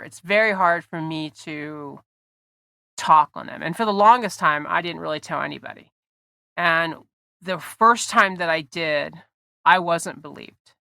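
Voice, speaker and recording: A woman's voice: lowish voice, sharp tone, no room sound. A woman hosting a podcast in casual chat, a bit congested, with lots of ums, restarts and laughs. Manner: chatty, laughs often, frequent ums and restarts. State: congested from allergies. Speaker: podcast host.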